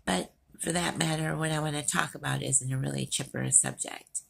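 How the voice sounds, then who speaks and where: Raspy, very low-voiced tired woman. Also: steady tone, moderate pace. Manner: rambling, earnest, frequent ums. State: tired, worn out. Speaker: vlogger. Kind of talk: unscripted rant to camera. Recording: faint outdoor work noise behind.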